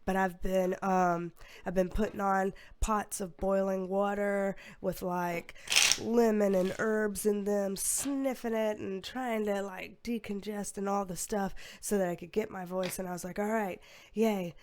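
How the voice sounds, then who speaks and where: A young woman reading tarot cards to camera in a casual, rambling way, her voice going hoarse. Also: medium pitch, steady tone, measured pace, a little mellow, slight background noise. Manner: rambling, filler-heavy, with sighs. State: losing her voice, a bit hoarse. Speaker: tarot reader.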